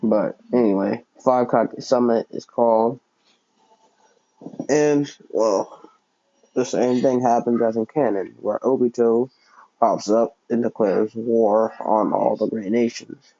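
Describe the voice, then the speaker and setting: A young man casually telling a fan fiction story out loud, rambling and correcting himself as he goes. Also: medium pitch, steady tone, takes it slowly, highs cut off. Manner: rambling, self-correcting, many fillers.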